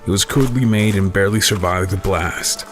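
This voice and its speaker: A man playing an earnest, principled ninja investigator in an audio drama, measured and subdued. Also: sits low, soft background music, close to an everyday voice. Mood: observant.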